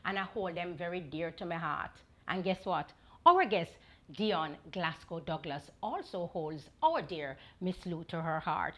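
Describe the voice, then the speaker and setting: A Jamaican woman hosting a culture show, speaking to her audience in an exuberant, theatrical way with sing-song emphasis. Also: lowish voice. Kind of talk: TV presenting to audience.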